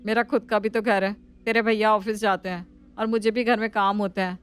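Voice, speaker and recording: A woman's voice: mid-range voice, level tone, brisk, clean tone, lightly acted. A woman voicing a dismissive, excuse-making married sister-in-law in a family story.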